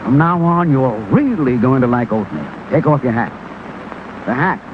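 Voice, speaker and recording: A man playing an adult pitchman coaxing a stubborn child in a vintage TV cereal advertisement. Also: sing-song, normal speed, lightly acted. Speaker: commercial announcer. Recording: dull, noisy old TV commercial audio.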